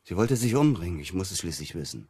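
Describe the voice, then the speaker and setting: An actor playing an evasive, defensive psychotherapist suspected of murder, speaking in a halting, measured way in a radio crime drama. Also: male voice, deep voice, sing-song, darkish tone, husky, no room sound, studio quality, exaggerated voice. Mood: defensive, unsettled.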